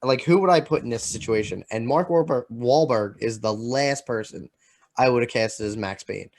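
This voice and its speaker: A man co-hosting a podcast in casual, opinionated chat, halting and repeating himself with lots of filler. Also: medium pitch, a little mellow. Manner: halting, repetitive, peppered with "like". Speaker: podcast co-host.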